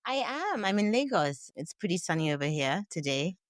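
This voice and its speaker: A woman from Nigeria with a notably deep voice, telling stories in a chatty, unhurried way. She is close to the mic in a dry room with no echo. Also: animated. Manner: chatty and warm storytelling, frequent "like" fillers. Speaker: guest, fashion founder. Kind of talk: casual remote interview chat. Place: remote call from Lagos.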